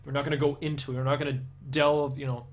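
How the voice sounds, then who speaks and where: Young Danish male streamer, slight Danish accent under American English, rambling and casual, mid-pitched voice. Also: level tone, fast. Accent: Danish, aiming for American English. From Denmark. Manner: rambling, many restarts and fillers. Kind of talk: casual chat with live viewers.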